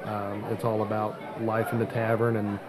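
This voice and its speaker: A man's voice: fairly low pitch, completely flat delivery, moderate pace, rough recording. Male podcast host, with chatter behind. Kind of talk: casual show hosting.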